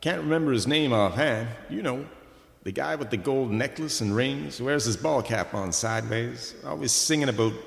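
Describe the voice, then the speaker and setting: A man's voice: mid-range voice, vocal fry, natural voice. A man playing a pushy, greedy record company executive in a comic radio play, with slick salesman patter. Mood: smug, boastful. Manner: fast-talking salesman patter with wheedling asides. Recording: echoey, long reverberant room sound.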